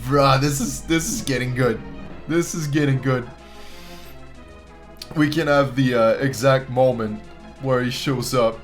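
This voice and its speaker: Low-pitched man reacting casually to a video. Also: fairly even intonation, measured pace, long pauses, faint music behind. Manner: informal, swearing, repeats phrases for emphasis. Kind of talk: casual excited commentary on a video.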